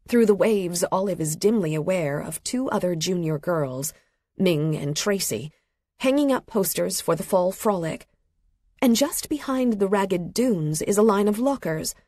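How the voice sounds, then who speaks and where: A woman reading literary fiction as an audiobook narrator, with a measured, expressive delivery and a slightly husky voice. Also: medium pitch, dry recording, studio quality. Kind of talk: scripted literary fiction narration, present tense. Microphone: close studio microphone.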